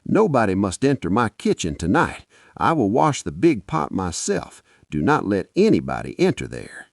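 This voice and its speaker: A man voicing a tired, guarded Nigerian wife in a told folktale, terse and rough, with hidden fear. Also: fairly low pitch, wildly swooping pitch, moderate pace, no room sound, clean studio sound, heavily acted. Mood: sharp, fearful. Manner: terse, sharp.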